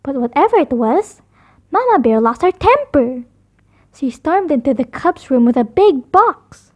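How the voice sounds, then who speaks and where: Very animated, high-voiced woman storyteller. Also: measured pace. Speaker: storybook narrator. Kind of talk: children's read-aloud narration.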